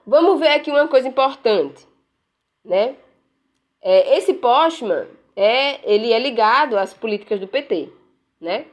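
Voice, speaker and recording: A woman's voice: fairly high pitch, lively intonation, a little slow, highs cut off. A woman giving casual political commentary while browsing pages on her screen, sarcastic and rambling, tagging sentences with questions. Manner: frequent "né?" tags, rambling, sarcastic.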